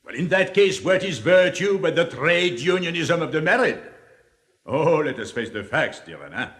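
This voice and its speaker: Suave cynical libertine, lively swinging intonation, declamatory 1950s stage drama, long hall reverb. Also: male voice, fairly high pitch. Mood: emphatic, cynical. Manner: rhetorical, deliberate, declamatory. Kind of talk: 1950s theatrical dramatic reading.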